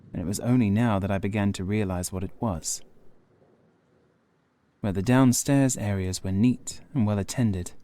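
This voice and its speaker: Low, mellow male horror narrator. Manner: measured, formal, literary delivery. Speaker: audiobook narrator. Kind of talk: scripted Gothic horror narration, first person. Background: faint music.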